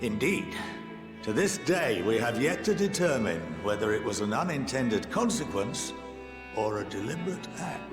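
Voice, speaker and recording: A man playing an erudite, measured scholar and statesman in a video game, speaking formally, like a lecture, with gentle wry humour. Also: medium pitch, sing-song, natural voice. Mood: measured, didactic. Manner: formal, eloquent, didactic. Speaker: game character, scholar and council member. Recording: game audio with echo, loud music. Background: loud music.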